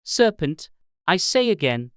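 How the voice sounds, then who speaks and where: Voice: very animated, unhurried, a bit bright, clean tone, no room sound, studio quality. A narrator voicing an indignant, fretful mother pigeon who scolds with contempt in a storybook reading. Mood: alarmed screeching. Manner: scolding, shrill exclamations, contemptuous.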